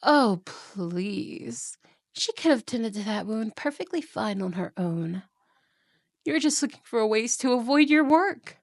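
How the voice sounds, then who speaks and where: Slow, haughty evil queen voiced by a woman. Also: on the high side, animated, no room sound, studio quality, full character voice. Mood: stern, scolding. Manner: imperious, scolding, condescending. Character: haughty, cruel evil queen and wicked stepmother. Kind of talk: fantasy ASMR roleplay acting.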